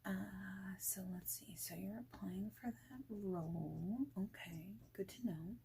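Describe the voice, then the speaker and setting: A woman speaking softly and breathily in an ASMR roleplay as a friendly, informal boss, often near a whisper, in a casual, hesitant, rambling manner. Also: mid-range voice, takes it slowly, creaky, a little hiss or hum. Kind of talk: soft-spoken ASMR roleplay, informal job interview chat.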